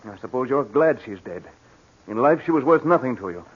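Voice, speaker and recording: An actor playing a guilt-haunted, suspicious blackmail victim, speaking gravely and deliberately in 1940s radio style. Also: male voice, medium pitch, expressive. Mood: bitter. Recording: muffled old radio broadcast recording.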